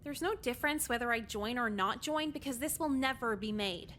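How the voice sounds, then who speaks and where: Game role: depressed, sardonic high school girl female actor, higher register, tired deadpan delivery. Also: fairly quick, a little hiss or hum, natural voice. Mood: irritated. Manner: deadpan, weary, profane. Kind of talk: visual novel game voice acting.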